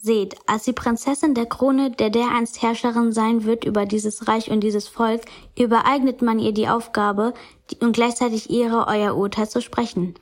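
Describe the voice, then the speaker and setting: An actress playing a stern crown princess who formally interrogates two runaways in a radio drama. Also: female voice, fairly high pitch, fairly even intonation, moderate pace, lightly acted. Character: stern young crown princess passing judgment.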